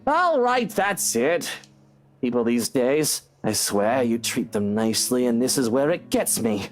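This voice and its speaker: A man's voice: medium pitch, sing-song, measured pace, faint music behind. An actor voicing a prickly, awkward, blustering man in a video game, easily flustered. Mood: indignant, alarmed. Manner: blustering, stammering.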